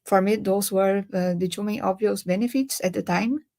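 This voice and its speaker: A young woman from Brazil with a Brazilian Portuguese accent, speaking on a webinar panel and pausing often with filler sounds. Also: medium pitch, fairly even intonation, mellow. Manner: hesitant, many filler sounds. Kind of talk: webinar talk.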